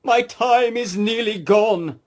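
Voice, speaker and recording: Mournful storybook ghost, high anguished voice, slow solemn drawn-out wailing. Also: male voice. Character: anguished ghost of a dead male businessman, mournful and doom-laden. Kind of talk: dramatic storybook reading.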